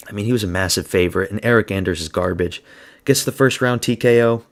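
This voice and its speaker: A man's voice: fairly low pitch, fast talker. A man giving a blunt, crude, slang-heavy solo review of fight results. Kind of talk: casual solo commentary.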